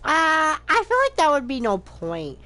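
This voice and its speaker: A woman giving casual, chatty playthrough commentary as she reacts to a game's story in an informal, expressive way. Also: high-pitched, measured pace, no room sound. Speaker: gaming streamer reacting to game story. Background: game music.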